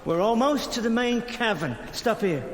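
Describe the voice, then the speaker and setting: A man's voice: very high-pitched, expressive, normal speed, noisy background. An actor playing a pompous, exacting male professor and criminal mastermind, speaking precisely and formally in a theatrical radio drama. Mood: brisk.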